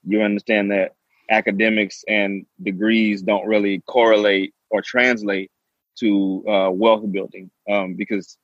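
A man's voice: on the low side, level tone, measured pace, no room sound, highs cut off. A man, a podcast guest telling his story eagerly. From Southeast Texas. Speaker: guest, real estate investor. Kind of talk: casual interview talk.

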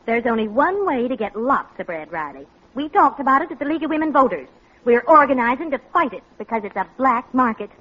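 An actress playing a sensible, principled American housewife, earnest and gently firm, in 1940s radio comedy style. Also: female voice, high-pitched, big pitch swings, a little fast, husky, exaggerated voice. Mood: indignant. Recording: muffled, noisy old radio recording.

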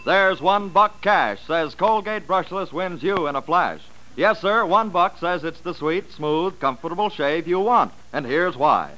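A man's voice: sits high, lively intonation, measured pace, few pauses, sharp tone, low-quality recording. A man announcing and reading adverts in an emphatic 1940s radio salesman style. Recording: old radio broadcast with music behind.

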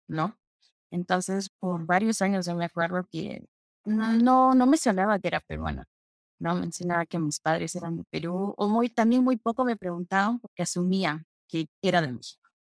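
A young woman from Peru telling stories about her childhood in a relaxed interview, slipping in English phrases. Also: lowish voice, dry recording, studio quality. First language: Spanish. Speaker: guest. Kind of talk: conversational interview.

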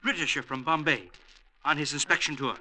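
Piercing, grasping village mayor. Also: male voice, on the high side, brisk, pushed and bright. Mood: urgent. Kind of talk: 1950s radio drama acting.

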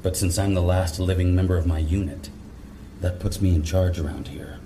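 An actor playing a gruff, commanding, territorial National Guard corporal in a zombie audio drama. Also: male voice, low-pitched, moderate pace, background noise. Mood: stern. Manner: commanding, profane, stern.

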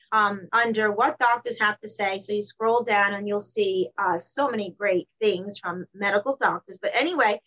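A woman's voice: medium pitch, a bit bright, dull audio. A woman in her fifties, a colon hydrotherapist, talking casually in a rambling way with many fillers and restarts. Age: 50s. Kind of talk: casual interview talk.

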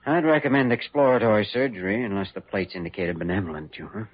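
An actor playing an earnest, calm, courteous young doctor in old-time radio drama style, measured and clinical. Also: male voice, fairly low pitch, natural voice. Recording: dull, faintly noisy old radio recording.

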